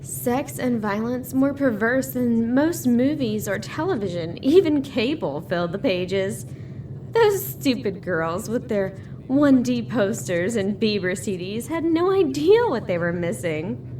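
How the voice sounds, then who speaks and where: A woman's voice: a little high, expressive, a little slow, background noise. A woman playing a bookish, sardonic teenage girl in an audio horror drama, speaking with dry disdain. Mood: scornful.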